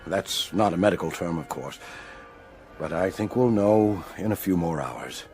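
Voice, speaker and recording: Radio drama role: thoughtful, concerned doctor, low expressive voice over faint music. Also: male voice, unhurried. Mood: grave, concerned. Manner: thoughtful, plain-spoken. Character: male doctor, plain-spoken and concerned.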